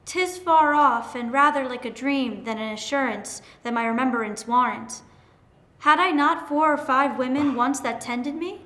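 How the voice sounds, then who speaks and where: An actress playing a tender-hearted, anxious young noble daughter in earnest, theatrical Shakespearean verse. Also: female voice, high voice, measured pace, a bit bright. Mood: earnest, curious. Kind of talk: Shakespearean dramatic reading. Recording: roomy, echoing sound.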